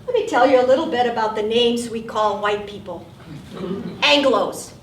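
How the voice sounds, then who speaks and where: High-voiced older woman performing a story onstage. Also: moderate pace, slight background noise. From South Texas, USA. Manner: wry, animated storytelling with acted asides. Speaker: solo performer. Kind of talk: storytelling stage monologue. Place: theater stage. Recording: roomy theater sound from a distance.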